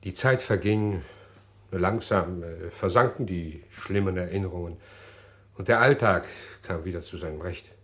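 A man's voice: low voice, fairly bright tone. An actor playing a haughty, guarded murderer who tells his own story in a vintage radio play. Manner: measured, haughty, hesitant.

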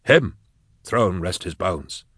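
A man's voice: low voice, lively intonation, takes it slowly, a bit bright, slightly rough, no echo, studio quality, full character voice. Man putting on a breezy, exclaiming, carousing comrade. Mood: exuberant. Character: jovial carousing male comrade, breezy and boastful. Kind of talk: audiobook character acting.

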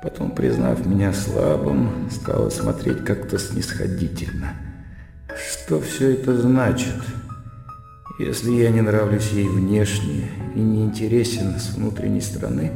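An actor playing a stiff, self-conscious, sceptical gentleman in a radio drama, formal and wry with a gravelly voice. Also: fairly low pitch, sing-song, a little slow, reverberant, big-room sound, faint music behind, exaggerated voice. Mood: brooding, irritated. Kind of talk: radio drama acting with inner monologue.

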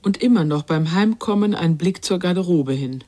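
A woman's voice: on the low side, expressive, moderate pace, warm tone, faint music behind. An actress playing a sober, probing narrator who acts as a girl's inner voice in a radio play, speaking tersely. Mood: sober observation.

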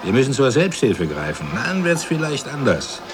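An actor playing a smug, self-righteous husband who passes scornful comments, in a radio play. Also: male voice, mid-range voice, sing-song, moderate pace, lightly acted. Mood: indignant. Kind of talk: radio drama dialogue.

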